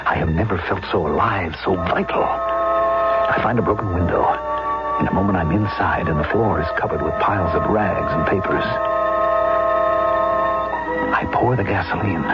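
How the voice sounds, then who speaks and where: A deep-voiced, deranged male killer narrates with slow, intense deliberation. It is vintage radio drama, with a dull sound and loud music behind the voice. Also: vocal fry. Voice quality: low-pitched. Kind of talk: 1970s radio drama monologue.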